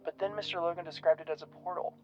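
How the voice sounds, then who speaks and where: An actor playing an earnest paranormal researcher in an audio drama, giving a formal, detailed account on tape. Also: male voice, a little high, fairly even intonation, quick pace, darkish tone, close to an everyday voice. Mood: earnest, absorbed. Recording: muffled radio-like tape, music behind.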